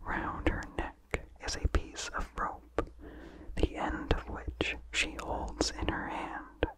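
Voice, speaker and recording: A narrator reading a vintage horror story aloud in a soft, measured ASMR whisper. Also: rough recording.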